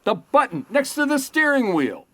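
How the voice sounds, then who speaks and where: An actor as a smooth-talking 1940s police inspector in a radio comedy. He is flirtatious and teasing, with lively swings of pitch, over faintly noisy ambience. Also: normal speed, exaggerated voice. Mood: irritated. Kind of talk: comedic retro radio drama acting.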